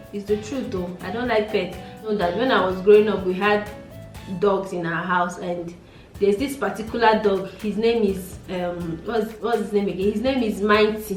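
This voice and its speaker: A woman's voice: medium pitch, echoey room, distant mic. A Nigerian woman in her late twenties with a Nigerian accent, chatting casually in a get-to-know-me vlog, musing aloud and repeating herself. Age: late 20s. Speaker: beauty vlogger.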